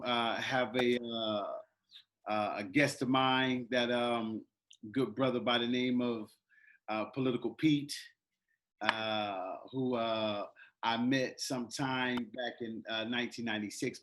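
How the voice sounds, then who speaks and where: A middle-aged man hosting a casual interview, talking haltingly with many fillers. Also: medium pitch, steady tone, fairly bright tone. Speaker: interview host.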